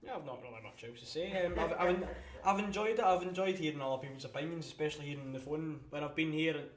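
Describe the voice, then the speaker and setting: Brief: young male co-host, hesitant, slightly high, echoey room. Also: fast talker. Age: young adult. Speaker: co-host on his first night.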